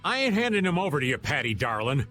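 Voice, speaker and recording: An actor playing a laid-back, folksy, teasing middle-aged rogue in a video game. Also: male voice, fairly high pitch, big pitch swings, fast, sharp tone, soft background music.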